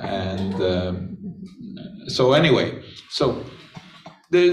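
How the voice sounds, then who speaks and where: A man giving an unscripted science talk, unhurried, with frequent pauses and filler words. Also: deep voice. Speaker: chemistry lecturer. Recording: echoey room, voice from a distance.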